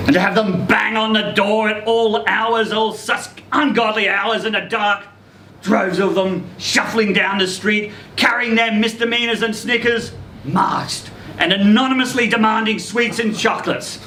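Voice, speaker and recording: A man reading a short story aloud in a theatrical, expressive way at a live event. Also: sits high, unhurried. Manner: theatrical, expressive delivery with acted quotes. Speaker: reader at a live literary event. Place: indoor social venue, live event. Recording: roomy, distant event recording with noisy hum.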